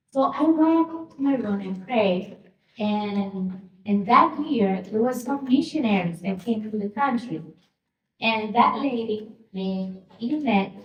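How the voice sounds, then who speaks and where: Slow-spoken woman. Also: medium pitch. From Dominican Republic. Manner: halting, with many fillers and restarts. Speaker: guest speaker. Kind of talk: personal testimony at a luncheon. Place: luncheon hall. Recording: echoey hall, distant mic.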